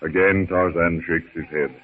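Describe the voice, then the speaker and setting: A man narrating a 1930s radio adventure serial in a dramatic, measured storytelling manner. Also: sits low, fairly even intonation, husky. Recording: very muffled 1930s radio recording.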